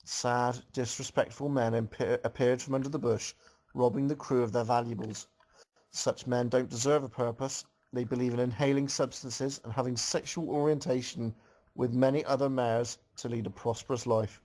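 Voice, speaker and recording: Philosophical pony mare narrator read by a man, middle pitch, solemn, sententious, measured. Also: fairly even intonation, darkish tone, natural voice, low-quality recording. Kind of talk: amateur comic fan fiction read-aloud.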